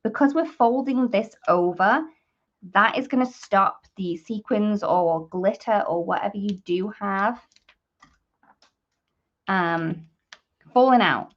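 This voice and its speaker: A woman's voice: medium pitch, slow pace, pauses often, lo-fi sound. A woman hosting a live craft tutorial, chatting informally to viewers and thinking aloud as she works. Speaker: host of a live papercrafting demonstration.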